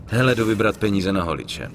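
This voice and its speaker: Low-voiced tough young veteran. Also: male voice, expressive, a little hiss or hum. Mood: businesslike. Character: tough, plain-spoken young male war veteran turned gangster. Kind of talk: dubbed crime game cutscene acting.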